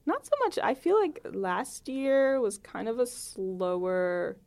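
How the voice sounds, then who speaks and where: A woman's voice: on the high side, big pitch swings, takes it slowly, a little mellow. A woman in her late twenties chatting casually as an interview guest, often peppering her talk with "like". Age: late 20s.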